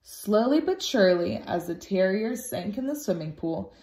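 A woman reading a children's picture book aloud expressively and deliberately, as a storytime teacher. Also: medium pitch, lively intonation, a little mellow. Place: small room at home, indoors. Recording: roomy home recording.

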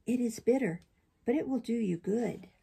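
A woman voicing a gentle motherly fairy from a storybook, loving and patient. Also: on the high side, normal speed, gravelly, breathy edge, exaggerated voice.

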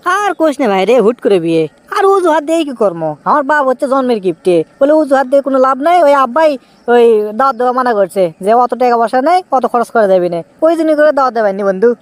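A man's voice: very high voice, expressive, fast, no room sound. A man voicing a cheeky, evasive young newlywed husband with a Bogura Bangladeshi accent, whining out excuses in a comic cartoon. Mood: chatty excuses.

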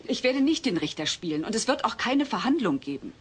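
Wry mother with lively intonation. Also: a little high, fairly quick, slight background noise. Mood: firm, sceptical. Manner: firm, dry, sceptical. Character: no-nonsense, wry mother presiding as household judge. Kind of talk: German dubbed comedy audio drama.

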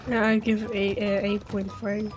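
A young woman with a Belgian accent on a voice call, speaking haltingly as she searches for words. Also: medium pitch, little pitch movement, a little mellow. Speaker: guest player. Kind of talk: casual game chat. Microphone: voice chat. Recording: faint game music behind.

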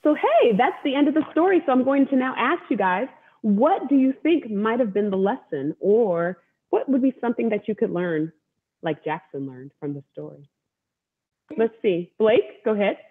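A woman's voice: on the high side, expressive, normal speed, breathy edge. A woman in her thirties hosting a children's story time over a video call, with an encouraging, teacherly way of talking. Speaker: author and event host. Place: room at home, indoors. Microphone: video call, wireless earbud. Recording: dull video-call audio.

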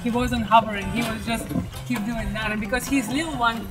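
A woman's voice: on the high side, fairly even intonation, brisk, loud music behind the voice, low-quality recording. A young woman co-hosting a sailing vlog, chatting casually and often laughing while out on a catamaran at sea. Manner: giggly, chatty.

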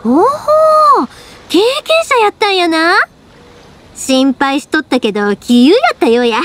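A woman voicing a cheerful young fireworks maker in a game, speaking with a Kansai accent and a teasing, warm-hearted manner. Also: very high voice, lively intonation, unhurried, heavily acted. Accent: Kansai dialect. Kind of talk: video game voice acting. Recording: game audio with soft music.

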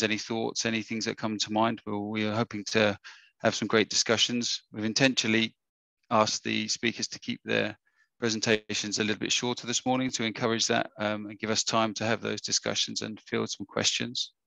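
A man with a husky voice, hosting an online webinar in a casual, hesitant way with many fillers and restarts, speaking over a video call. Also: on the low side, level tone, moderate pace, sharp tone, no echo, rough recording. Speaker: webinar host. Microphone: webcam or headset on a video call.

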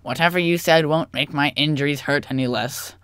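A man's voice: fairly high pitch, a little fast, fairly bright tone, smooth, dry recording, close to an everyday voice. A man playing a cocky, quarrelsome young sock puppet with a sarcastic, theatrical manner. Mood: taunting.

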